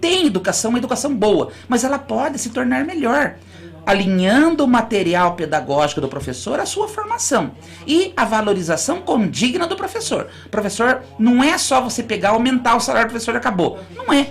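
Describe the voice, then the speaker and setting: A woman's voice: a little high, very animated, a little fast, gravelly. A school director from Brazil, a guest on a radio interview. She explains in long, earnest runs and often restarts her sentences. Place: radio studio.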